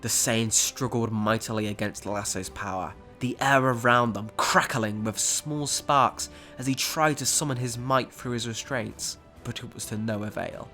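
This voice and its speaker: A man delivers scripted narration for a dramatic story. His voice sits at a middle pitch, with light music running underneath. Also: steady tone, moderate pace, few pauses, bright, forceful tone.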